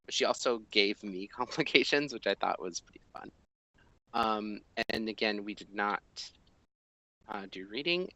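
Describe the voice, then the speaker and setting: A man in his thirties, a language teacher, talking through an assessment form at his desk, thinking aloud with many ums and pauses. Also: medium pitch, bright, forceful tone, clean tone, no room sound, poor audio. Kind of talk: screen-recorded walkthrough of an assessment. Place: small indoor room at a desk.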